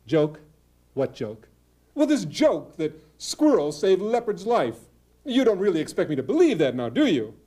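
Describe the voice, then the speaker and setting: A man voicing a wise old male goat in a told story, speaking in a dry, knowing, unhurried way. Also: high-pitched, huge pitch swings, mellow, exaggerated voice. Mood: sly calm.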